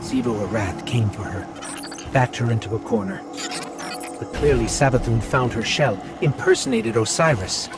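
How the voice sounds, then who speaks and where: Game role: male actor as loyal, wary robot guide, earnest and expressive, loud soundtrack underneath. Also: medium pitch, normal speed, close to an everyday voice. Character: loyal, suspicious floating robot companion, earnest and protective. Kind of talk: video game cutscene acting. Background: loud background music.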